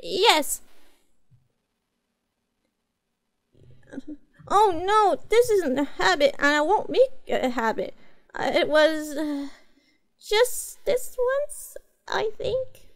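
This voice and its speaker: A woman plays a cute catgirl pet in a roleplay audio. Her voice is very high and girlish, with some creak in it. She talks slowly and hesitantly, earnest and eager to please. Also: sing-song, heavily acted. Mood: sheepish, pleading. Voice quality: cutesy, girlish. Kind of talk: scripted roleplay audio, addressing a master.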